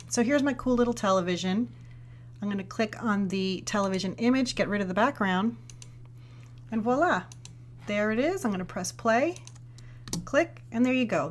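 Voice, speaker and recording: A woman narrating a casual software tutorial, explaining step by step in a conversational way. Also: mid-range voice, slight background noise. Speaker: tutorial presenter. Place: indoors, voice-over on screen recording.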